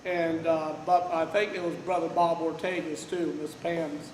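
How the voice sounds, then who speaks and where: A man in his thirties, originally from Kansas City, giving a loud, rambling tribute to his pastor without a microphone. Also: on the high side, little pitch movement, a little slow, reverberant, slight background noise, low-quality recording. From Kansas City, Missouri. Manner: loud, unmiked, rambling with many "uh"s. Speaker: church member giving a tribute. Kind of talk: informal heartfelt tribute speech. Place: large church fellowship hall. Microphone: no microphone, picked up from across the room.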